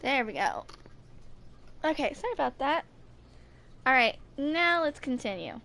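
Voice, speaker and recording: A woman's voice: high voice, very animated, measured pace, creaky, pushed and bright, slight background noise. A woman in her twenties chatting casually and informally with stream viewers, rambling as she goes. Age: 20s. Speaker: livestreamer.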